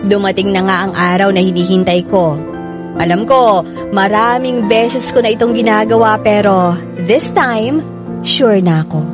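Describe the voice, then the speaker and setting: A woman's voice: mid-range voice, close to an everyday voice. A woman with a Filipino accent playing a reflective, resolute young woman, narrating her own story in a radio drama. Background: music.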